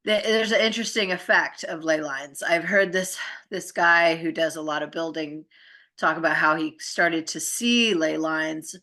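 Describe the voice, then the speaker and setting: A woman with a notably low voice that still sounds bright and forward. She explains her ideas conversationally and earnestly, rambling informally and often checking in with the listener. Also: a little slow. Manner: informal, earnest, rambling with frequent tag questions.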